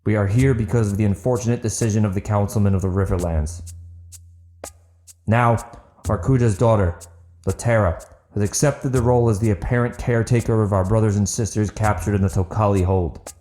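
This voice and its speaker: Man as a grave, bright-voiced stern leader. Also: lowish voice, fairly quick, faint music behind, lightly acted. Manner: grave, formal. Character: stern, authoritative male leader of a gathering.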